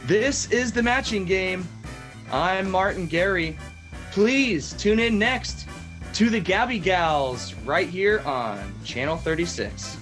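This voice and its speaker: A man playing a chatty game show host in an improvised parody, with deadpan asides. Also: high-pitched. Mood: upbeat, announcing. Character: male TV game show host, chatty, smooth, mock-serious.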